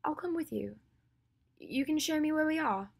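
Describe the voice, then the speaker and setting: A woman's voice: high voice, expressive, normal speed, gravelly, voice pushed up. A woman voicing a protective, stubborn young woman in an audiobook, urgent and insistent. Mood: soft, anxious. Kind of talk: audiobook character acting.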